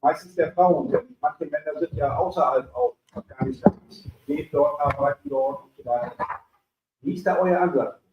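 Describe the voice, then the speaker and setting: A man's voice: on the high side, moderate pace, noisy background. A man moderating a panel discussion and asking thoughtful questions. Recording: dull, echoey room, distant mic.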